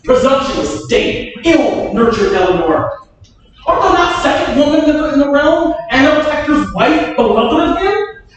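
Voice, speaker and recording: A performer playing a troubled, loyal duke in a staged Shakespeare reading, speaking in a measured way that rises into stern rebuke. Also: high voice. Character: troubled loyal duke, chiding his wife. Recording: echoey hall from far off.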